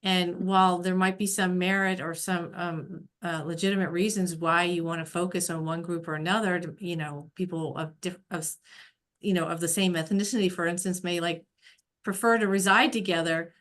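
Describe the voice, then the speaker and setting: A woman's voice: lowish voice, fairly even intonation, moderate pace, fairly bright tone. A woman on a webinar panel, answering hesitantly and repeating words as she weighs a legal question. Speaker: panelist on fair housing.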